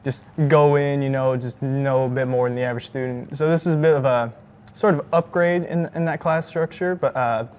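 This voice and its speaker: A teenage boy, a high school student being interviewed, who hedges a lot with "I mean" and "you know.". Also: fairly even intonation, darkish tone, clean tone, dull audio. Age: teens. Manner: rapid, hedging, lots of "I mean" and "you know".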